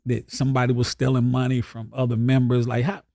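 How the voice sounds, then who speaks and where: Fast-talking host with a mellow voice. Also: male voice, medium pitch, level tone, gravelly, dry recording, clean studio sound. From Atlanta. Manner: loose and slangy, jumps in with quick questions. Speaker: podcast host. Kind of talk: casual slangy interview chat.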